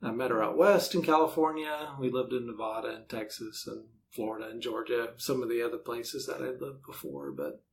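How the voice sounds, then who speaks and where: A man's voice: mid-range voice, animated, fairly quick, few pauses. Man, middle-aged, American accent, easygoing, conversational, slight background noise. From United States. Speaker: podcast narrator and author being interviewed. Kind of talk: casual interview chat.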